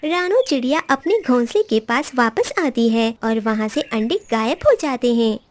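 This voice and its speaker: A woman's voice: fairly high pitch, expressive, normal speed. A woman narrating a scripted children's animal story as the narrator.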